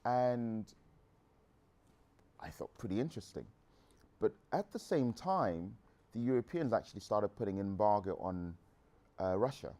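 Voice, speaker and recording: A man's voice: fairly low pitch, expressive, a little slow, long pauses, dark tone. A man in his thirties from England, a guest entrepreneur answering audience questions in a frank, rambling way with restarts. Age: 30s.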